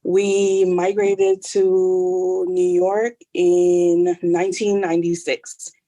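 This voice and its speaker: A woman from Jamaica, a guest in a casual interview, speaking in a measured way. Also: on the low side, flat delivery, warm tone, poor audio.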